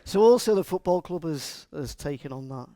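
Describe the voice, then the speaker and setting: Middle-aged male agile coach with a Scouse accent, slightly higher voice, dry close sound, chatty and playful with the room. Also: expressive, normal speed, dark tone, no room sound. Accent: Scouse (Liverpool). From Liverpool, England. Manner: chatty, jokey, asks the audience questions. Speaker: conference speaker and agile coach. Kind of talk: live conference talk to a room.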